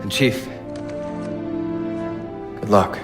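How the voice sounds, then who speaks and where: A man playing a grave, candid and quietly loyal military officer in a video game, measured and understated. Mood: grave, confiding. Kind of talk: video game cinematic acting. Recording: long reverb, music behind.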